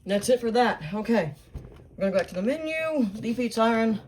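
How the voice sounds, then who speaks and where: A woman's voice: fairly high pitch, animated, a little fast, a little mellow. A woman giving casual, unscripted setup commentary, thinking aloud and muttering in short phrases. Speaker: product unboxing and setup presenter. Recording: clear background noise, button clicks.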